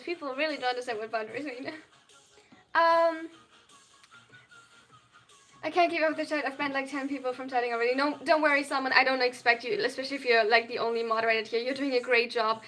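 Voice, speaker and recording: A young adult, reading viewer chat aloud on a livestream and answering at length. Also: female voice, high-pitched, fast talker, a bit bright, faint music behind. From Germany. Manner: reads viewer chat aloud and answers, tends to over-explain. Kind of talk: casual live-stream chat.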